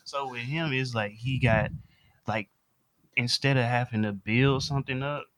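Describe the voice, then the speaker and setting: A man's voice: mid-range voice, steady tone, moderate pace, a bit bright, dry recording. A man explaining his view in casual, slangy conversation.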